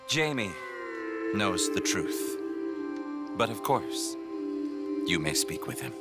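A man's voice: lowish voice, very animated, slow, loud music behind the voice. An actor playing a serene, smug male cult preacher in a game, speaking with pompous, lilting piety. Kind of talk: dramatic video game acting.

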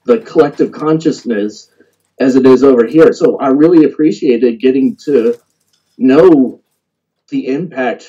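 A man's voice: medium pitch, unhurried, mellow, husky. A man with an American accent chatting casually as a call-in guest over an internet audio call. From United States. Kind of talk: casual chat. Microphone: remote internet audio call. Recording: dull, band-limited internet call audio.

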